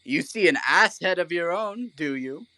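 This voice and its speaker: An actor playing a pompous, oblivious and stubborn weaver who fancies himself an actor, in a comic Shakespearean audio play. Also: male voice, sits high, animated, normal speed, sharp tone, no echo, full character voice, poor audio. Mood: indignant. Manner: hammy, declamatory. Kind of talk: theatrical comic Shakespearean audio drama acting.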